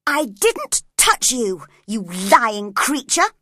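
A woman voicing a volatile young woman who is imperious and quick to anger. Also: lowish voice, big pitch swings, a bit bright, dry recording, clean studio sound, heavily acted. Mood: furious.

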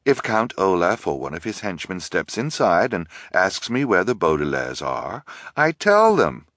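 A man's voice: low-pitched, big pitch swings, measured pace, no echo, studio quality, exaggerated voice. A man voicing a tearful, ashamed woman who speaks sadly without any accent. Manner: sobbing, soft, unaccented. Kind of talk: audiobook character reading.

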